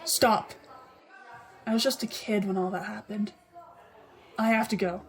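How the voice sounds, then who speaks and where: A woman's voice: medium pitch, fairly even intonation, measured pace, natural voice. A woman playing an earnest, brooding young woman, stubborn and withdrawn, in a dramatic comic dub. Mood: defiant. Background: music.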